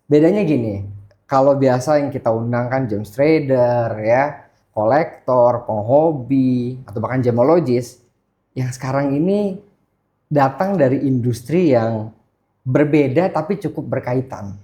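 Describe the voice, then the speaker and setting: Mellow-voiced Jakarta man hosting a podcast. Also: medium pitch, unhurried. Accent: Jakarta Indonesian. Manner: colloquial, often addresses listener as "bro". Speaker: podcast host. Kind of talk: casual podcast chat.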